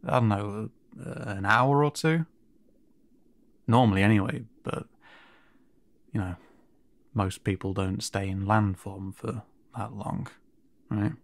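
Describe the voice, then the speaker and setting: Man playing a slow, hesitant, kindly merman. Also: lowish voice, fairly even intonation, dry recording. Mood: hesitant, reassuring. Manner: hesitant, stammering, gently encouraging. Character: kind, awkward male siren merfolk guiding a newcomer. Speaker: voice actor in audio roleplay. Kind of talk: intimate audio roleplay drama, addressed to the listener.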